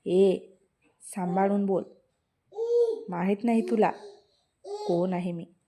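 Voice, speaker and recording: A woman's voice: medium pitch, fairly even intonation, unhurried, a crowd in the background, close to an everyday voice. A woman voicing a fiery, proud college girl. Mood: angry. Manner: sharp, bossy, raised voice. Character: quick-tempered proud young college woman.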